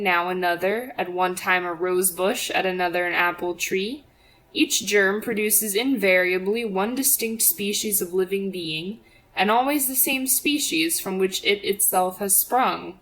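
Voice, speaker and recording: A woman's voice: medium pitch, fairly bright tone, low-quality recording. Formal woman reading an audiobook. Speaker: audiobook reader. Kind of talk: scripted narration of a religious apologetics book.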